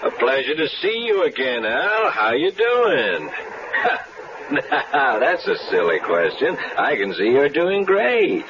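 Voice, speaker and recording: A man's voice: mid-range voice, big pitch swings, slow pace. A sly menacing tough guy. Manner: sly, needling, threatening. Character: menacing adult male tough-guy blackmailer. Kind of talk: 1970s radio drama acting. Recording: muffled old radio broadcast with hiss.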